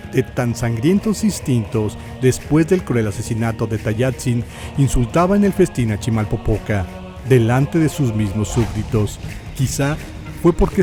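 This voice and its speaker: A man with a Mexican accent reading scripted historical narration in a solemn, theatrical, literary manner. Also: medium pitch.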